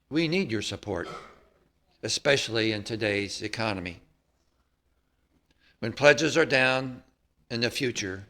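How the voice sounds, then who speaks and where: A man reading a prepared text at a church service, with measured, emphatic phrasing. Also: mid-range voice, sharp tone. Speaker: service reader.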